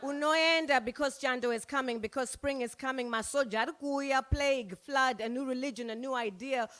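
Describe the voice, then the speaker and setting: Bright-voiced woman from Zimbabwe performing poetry. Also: high voice. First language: Shona. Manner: chanting a repeated refrain, rhythmic. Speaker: open mic poet. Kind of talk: spoken word performance with call and response. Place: small music club stage.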